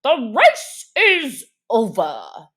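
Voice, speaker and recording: A woman performs a grave storybook dodo, full of self-importance. The words come slowly and emphatically, swinging up and down as if each one were an official proclamation. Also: a little high, huge pitch swings, a bit bright, full character voice. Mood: loud, declaring. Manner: solemn, declamatory, drawn-out emphatic delivery. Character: grave, pompous dodo presiding over a race.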